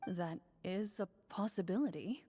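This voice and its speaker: A woman playing a composed, serious young woman in an anime game, speaking in a deadpan, formal, measured way. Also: medium pitch, slight background noise, natural voice. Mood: calm, matter-of-fact.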